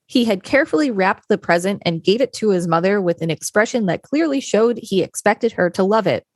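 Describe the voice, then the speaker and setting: A woman's voice: mid-range voice, expressive, brisk, a little mellow, no room sound. A woman co-hosting a podcast, narrating an encyclopedia article read straight from the text.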